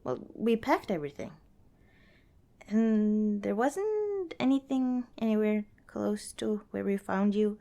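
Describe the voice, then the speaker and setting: A woman playing a friendly, protective young wanderer in an ASMR roleplay, chatting casually and gently with hesitant pauses and small chuckles. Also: medium pitch, vocal fry. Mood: casual, encouraging. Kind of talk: ASMR roleplay, casual one-sided dialogue.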